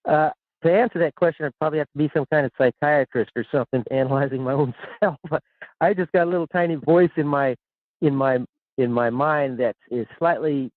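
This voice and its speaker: A man's voice: on the high side, fairly quick, dry recording. Caller, man in his 60s, rambly and repetitive, phone-line sound, dry. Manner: rambling, repeats words, chuckles. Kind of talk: call-in radio chat. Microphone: telephone.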